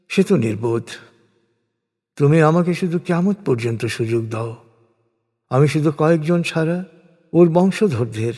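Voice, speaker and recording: A man reading a scripture translation as solemn, scripted religious narration, in a measured, declamatory way. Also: a little high, a little mellow. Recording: long cavernous reverb.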